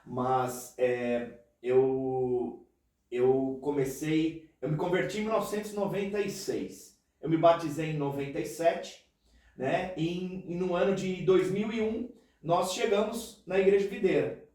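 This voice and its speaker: High-voiced pastor in an echoey room. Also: male voice, measured pace, a little mellow, distant mic. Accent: São Paulo city Portuguese. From Brazil, Paraná state. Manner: earnest, chatty, frequent "né" tags. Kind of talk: casual podcast interview.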